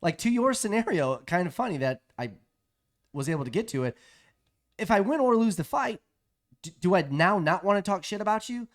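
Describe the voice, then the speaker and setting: A man co-hosting a casual podcast chat, quick to argue back and pick apart his partner's points. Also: sits high, animated. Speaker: podcast co-host.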